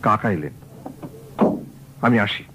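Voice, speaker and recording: An actor playing a young nephew who wants to be an actor, talking in a casual, evasive way. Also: male voice, slow, close to an everyday voice. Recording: dull old radio recording, faint hiss.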